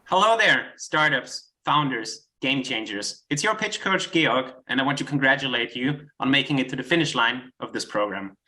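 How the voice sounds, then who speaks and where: Bright, forceful man giving motivational coaching. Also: mid-range voice, lively intonation, normal speed, few pauses, poor audio. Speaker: pitch coach. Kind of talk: motivational video message.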